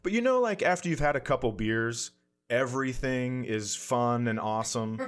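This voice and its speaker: Guy telling a funny story on himself, regular pitch, casual, does the quotes as he goes. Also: normal speed, clear, resonant tone. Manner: self-deprecating, animated anecdote telling with acted-out quotes. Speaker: comedian podcast guest telling a story.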